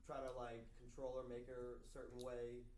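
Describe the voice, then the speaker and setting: A man's voice: mid-range voice, completely flat delivery, darkish tone. A man with a mid-range voice talks casually in a group discussion, feeling his way through each point with stops and restarts. He sounds distant in a reverberant room, with faint noise. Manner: hesitant, halting, full of stops and restarts. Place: indoor room at a group table read.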